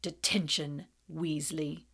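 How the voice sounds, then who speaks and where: A woman voicing a cold, sarcastic male teacher who speaks slowly, quietly and with silky menace. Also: on the low side, fairly even intonation, natural voice. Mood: cold, menacing. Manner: slow, quiet, icy and sarcastic.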